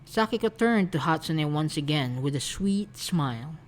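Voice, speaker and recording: Woman, low-pitched, soft scripted narration, romance fan fiction reader. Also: expressive, normal speed.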